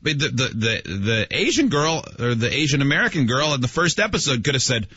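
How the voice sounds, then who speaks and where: A man's voice: mid-range voice, sing-song, brisk, sharp tone, slightly rough, no room sound. A man hosting a casual chat, incredulous and riffing on what others said. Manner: incredulous, riffing with mock quotes. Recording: dry, dull lo-fi podcast audio.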